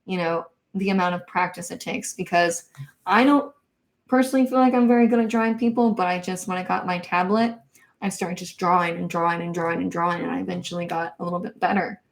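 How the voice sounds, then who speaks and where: A young woman from Kansas hosting a casual livestream, reading viewer comments and answering in a soft, hesitant way with many ums and likes. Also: fairly low pitch, steady tone, fast talker. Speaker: livestream host.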